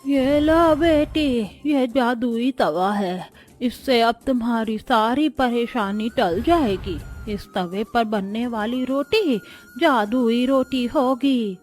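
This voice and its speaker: An elderly village beggar woman, voiced by a female narrator, speaks slowly and softly for a cartoon fable. She is humble and kindly, with music underneath. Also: on the high side. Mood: kindly reassuring. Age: older. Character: kindly old beggar woman with a magical gift. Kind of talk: Hindi cartoon moral story voice.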